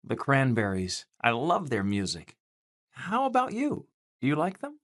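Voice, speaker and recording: A voice actress plays a curious everyday conversation partner for an English lesson. Her intonation swings widely from a low base. The sound is dry and scripted. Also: wildly swooping pitch, a little slow, no echo, heavily acted. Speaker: voice actor in English lesson dialogues. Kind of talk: scripted learner dialogue.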